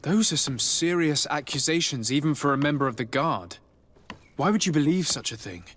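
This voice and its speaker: A man acting a courteous, composed noble diplomat with a formal, measured manner in a video game. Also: a little high, lively intonation, fairly bright tone, husky.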